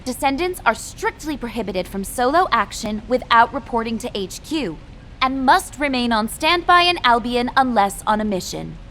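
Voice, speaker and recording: Game military officer, female, composed, formal, higher-pitched, faint background noise. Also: big pitch swings, normal speed, fairly bright tone. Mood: official. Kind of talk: formal scripted briefing.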